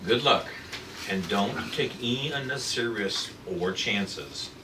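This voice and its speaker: A man's voice: fairly low pitch, animated, unhurried. A man reading the part of a firm but fair government secretary in a retro space adventure table read. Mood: concerned, firm. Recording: echoey room, distant mic, clear noise.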